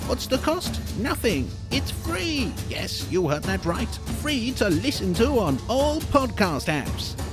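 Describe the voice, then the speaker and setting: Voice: mid-range voice, sing-song, takes it slowly, few pauses. A person delivering a comic infomercial-style podcast promo in a showy, salesy manner. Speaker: podcast advertiser. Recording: music bed behind voice.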